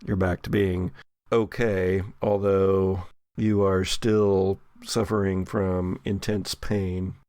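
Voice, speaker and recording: A man's voice: low voice, level tone, takes it slowly, mellow, no echo. A man improvising narration as game master of a horror tabletop game.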